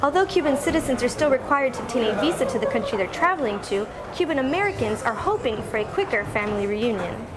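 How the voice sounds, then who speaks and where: High-voiced woman reporting news. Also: expressive, fast talker, few pauses, a loud crowd. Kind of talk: scripted news report narration.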